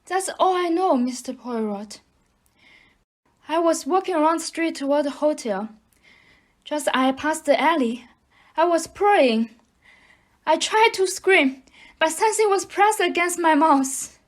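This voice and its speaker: A woman's voice: extremely high pitch, lively intonation, measured pace, pauses often. An actress playing a polite, shaken young woman who is a victim in an audio play.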